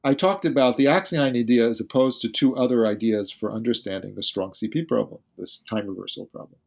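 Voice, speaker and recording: A man's voice: medium pitch, a little fast, creaky. A male physicist, a guest on a podcast interview, who explains carefully and thinks aloud as he speaks.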